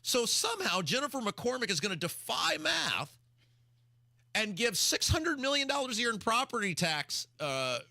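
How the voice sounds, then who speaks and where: A male radio talk host delivers political commentary with a very bright, forceful edge. His voice is creaky and swings widely as he argues his case and poses rhetorical questions. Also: extremely high pitch, sing-song, moderate pace, no echo, clean studio sound.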